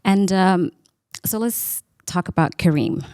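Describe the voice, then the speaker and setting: A woman hosting a podcast interview, asking quick, friendly questions with fillers. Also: fairly low pitch, dark tone, clean tone. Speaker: podcast host.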